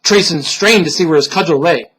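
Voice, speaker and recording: A man giving a solemn, theatrical reading of a gothic horror story, deliberate and dropping to hushed tones. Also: on the high side, slightly rough, poor audio. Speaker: storyteller, reader.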